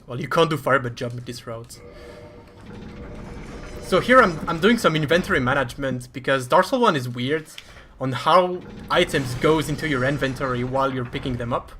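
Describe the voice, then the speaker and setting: A man's voice: medium pitch, moderate pace, bright, forceful tone, faint music behind. A man with a French accent commentating live over his own speedrun in a chatty, self-deprecating way.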